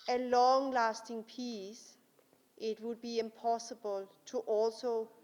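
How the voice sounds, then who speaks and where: A woman with a Danish accent, a native Danish speaker, giving a formal conference speech as a guest speaker. She talks slowly and deliberately, pausing with frequent fillers. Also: a little high. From Denmark. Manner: deliberate, slow and careful, with frequent uh pauses. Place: large conference hall. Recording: long hall reverb.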